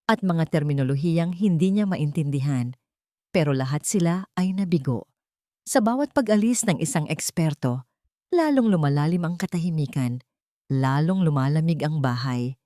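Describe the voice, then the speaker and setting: Mellow woman narrating an audio drama. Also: sits low, dry recording, clean studio sound.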